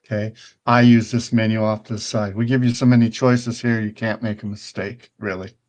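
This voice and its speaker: A man's voice: on the low side, little pitch movement, normal speed, darkish tone. A retired older man hosting a casual show, touring a virtual world and chatting in a rambling, conversational way, often checking in with the listener. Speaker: show host.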